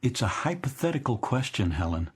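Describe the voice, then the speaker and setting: An American actor playing a middle-aged, disillusioned executive in a radio drama, halting and repeating himself as he speaks. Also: mid-range voice, big pitch swings, lightly acted. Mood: distracted, pensive. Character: weary, disillusioned married male executive, dreamy and self-deprecating. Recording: music bed under the dialogue.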